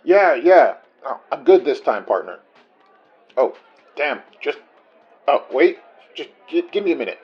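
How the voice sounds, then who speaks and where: A man's voice: on the high side, sing-song, measured pace, long pauses, breathy edge, lo-fi sound, soft background music, heavily acted. An actor playing a distracted, reassuring male detective on a call to a partner, in an audio drama. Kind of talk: naturalistic horror fiction podcast acting.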